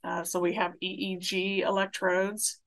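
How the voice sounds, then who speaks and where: A woman's voice: lowish voice, monotone, unhurried, fairly bright tone, low-quality recording. A woman psychiatrist giving a slide presentation over a video call, explaining a treatment in plain clinical terms with some fillers. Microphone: video call webcam.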